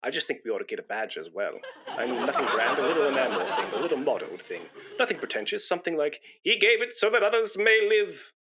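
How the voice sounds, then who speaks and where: An actor in a comedy radio play, playing a pompous, self-righteous, boastful busybody who sounds self-important and wheedling. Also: male voice, medium pitch, big pitch swings, quick pace, over a noisy crowd, very noisy, natural voice.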